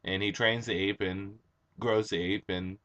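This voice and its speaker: A young man with a raspy, hoarse voice, chatting casually and eagerly as a comic book reviewer, recorded on a computer webcam. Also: lowish voice, steady tone, unhurried, bright, forceful tone, dull audio. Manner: enthusiastic, chatty, with frequent um and uh. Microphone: computer webcam mic.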